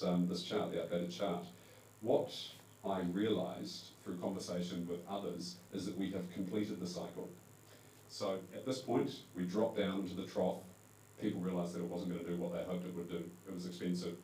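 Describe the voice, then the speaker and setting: A New Zealand man speaks to a live audience in a low, warm voice, relaxed and conversational, fixing his wording as he goes. He is picked up from some way off, so the hall echoes around him. A faint hum sits underneath. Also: far from the mic. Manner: conversational, self-correcting with fillers. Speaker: conference panel speaker. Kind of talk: presentation talk to a live audience. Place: event hall.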